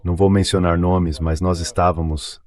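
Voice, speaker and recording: A man with a deep, low-set voice speaks as an interview guest. His commentary is conversational and matter-of-fact, delivered as a translated voice-over. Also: little pitch movement, moderate pace, a little mellow.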